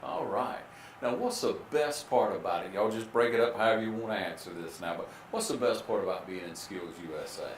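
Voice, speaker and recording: A man's voice: on the low side, a little fast, a little hiss or hum. Guy w hosting local tv, easygoing encouraging way, kinda roomy echo. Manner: folksy, encouraging questions. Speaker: TV show host. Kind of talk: local TV interview.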